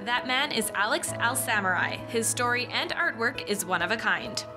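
A woman reporter narrating a local news feature as a scripted voice-over, crisp and even. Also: on the high side, moderate pace. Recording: voice-over with music bed.

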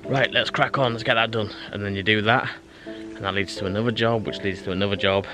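A man's voice: fairly low pitch, fairly even intonation, a little fast. A man in his thirties with a British accent, chatting casually to the camera outdoors in a forest, rambling with fillers and restarts. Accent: British English. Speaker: bushcraft vlogger. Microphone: handheld camera at arm's length. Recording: background music behind the voice.

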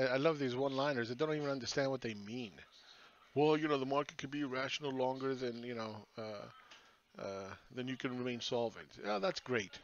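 A man's voice: medium pitch, a bit bright, muffled recording. A man hosting his own channel, ranting sarcastically over charts and firing rhetorical questions at critics.

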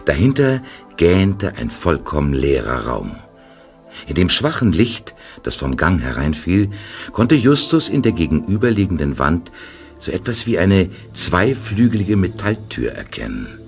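A man narrating an audio drama in a calm, measured scripted style. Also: deep voice, gravelly, faint music behind.

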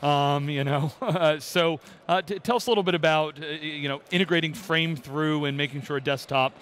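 A man's voice: a little high, steady tone, moderate pace, fairly bright tone, a little hiss or hum. A middle-aged man co-hosting a live conference interview, asking chatty, rambling questions with fillers. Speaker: co-host. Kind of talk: live trade-show interview. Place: busy conference hall.

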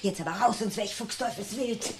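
Actress as a nagging, exasperated wife. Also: female voice, medium pitch, a little fast, over music, natural voice. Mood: impatient, nagging. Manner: scolding, urging, impatient. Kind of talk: German radio drama acting.

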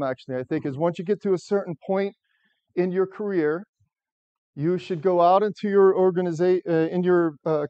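A loud, engaging middle-aged man from the United States speaks to a seated audience in a lecture room, his fairly high voice carrying without a microphone. The sound is dull and lo-fi, caught by a camera at the back of the room. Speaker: software architect giving a talk. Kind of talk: conference talk to a live audience. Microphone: far camera, no microphone.

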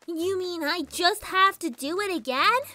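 A woman's voice: very high-pitched, measured pace, bright, forceful tone, dry recording, full character voice. A woman voicing a bratty, scheming little filly villain in a whiny, theatrical manner for a dramatized story reading. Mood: exasperated. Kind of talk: dramatized fan fiction reading. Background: background music.

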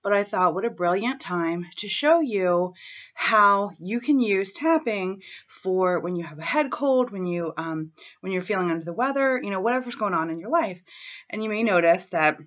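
A woman in her thirties, hoarse from a head cold, talking frankly and clearing her throat as she guides a tapping session at home on her laptop webcam. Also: medium pitch, lively intonation, moderate pace, a bit bright. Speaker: tapping coach. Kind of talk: self-help vlog with guided tapping. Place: small room at home, indoors. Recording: dull lo-fi webcam sound.

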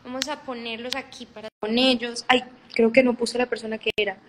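A woman's voice: on the high side, flat delivery, brisk, fairly bright tone, echoey room, slight background noise. Chatty paisa woman on a phone live. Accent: Colombian paisa. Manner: chatty, repetitive, mixes in English. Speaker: livestream host. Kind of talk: casual livestream chat, reading comments aloud. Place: room indoors. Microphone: phone held close.

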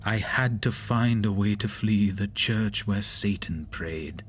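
Reanimated monster, horror drama, grave verse recitation, slow, anguished, noisy ambience. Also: male voice, lowish voice. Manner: grave, measured, rhyming recitation. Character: anguished male reanimated monster recounting his birth in verse.

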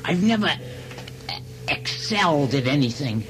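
A meek, yearning man of about thirty in a radio play. His voice sits a little high and swings widely in pitch, hesitant and wistful, with music playing underneath. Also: very animated, moderate pace, a bit bright. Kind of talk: radio drama acting.

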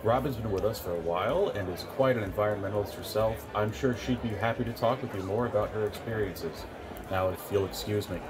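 A man's voice: lowish voice, brisk, close to an everyday voice. A man playing a polite, formal organization official at a gala in a fiction podcast. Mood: polite, brisk. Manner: courteous, formal. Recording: busy crowd chatter behind.

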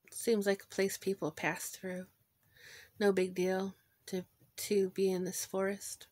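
A woman leading a guided visualization in a gentle, informal way, pausing between short phrases. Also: medium pitch, no echo. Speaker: guided meditation leader. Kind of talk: guided meditation, visualization narration.

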